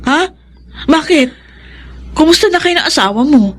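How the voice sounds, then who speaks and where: A woman's voice: high voice, expressive, normal speed, no room sound. A woman playing an anxious, guilt-ridden wife in a Filipino radio drama, with a fretful, exclamatory delivery. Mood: concerned.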